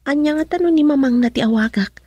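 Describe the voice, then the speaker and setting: A woman's voice: high-pitched, fast talker, warm tone, no room sound, natural voice. An actress playing an anxious, suspicious young woman in a radio drama, with a Filipino accent. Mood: uneasy.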